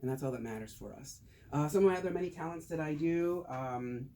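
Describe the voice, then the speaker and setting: A man's voice: fairly high pitch, fairly quick, warm tone. Joking man giving a tax talk. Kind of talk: casual group talk turning into a presentation.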